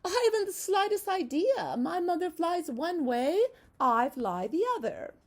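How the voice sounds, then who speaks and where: A woman's voice: very high-pitched, lively intonation, a little slow, voice pushed up. A woman voicing a carefree, independent male butterfly with a breezy, self-assured manner.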